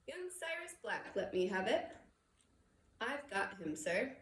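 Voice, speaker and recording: A woman's voice: medium pitch, very animated, slow pace, recorded from a distance. A woman voicing a giant, humble and tender-hearted wild man with a folksy way of talking in a storybook reading. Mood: respectful. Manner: folksy, stammering when upset. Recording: echoey room with background noise.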